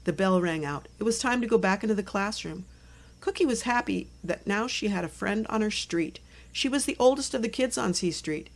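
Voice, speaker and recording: A woman reading a children's chapter book aloud as narrator, in clear, simple phrases meant for young listeners. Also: medium pitch, expressive, normal speed. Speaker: storybook narrator.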